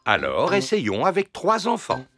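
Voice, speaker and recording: A man's voice: a little high, huge pitch swings, a little slow, loud music behind the voice. An actor voicing a patient grown-up male pig who explains experiments to children in a teacherly, sing-song way, in a French cartoon dub. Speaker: father leading science experiments.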